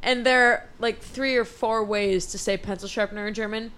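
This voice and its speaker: A woman's voice: a little high, steady tone, moderate pace, a bit bright, clean tone. A woman chatting casually as a panelist, with an enthusiastic manner. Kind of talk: casual group chat.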